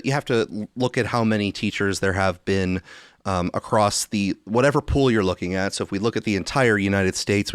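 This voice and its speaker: A man hosting a podcast, talking casually and thinking aloud in a halting, rambling way, often rephrasing mid-sentence. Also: fairly low pitch, clean tone, no echo, clean studio sound. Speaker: podcast host.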